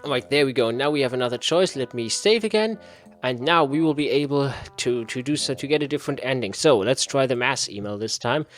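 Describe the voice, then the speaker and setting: A man's voice: mid-range voice, fairly quick, hardly any pauses. Man, casual gaming host, mid-range voice, self-muttering, repeats phrases, faint music behind. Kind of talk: casual playthrough commentary, reading game text aloud.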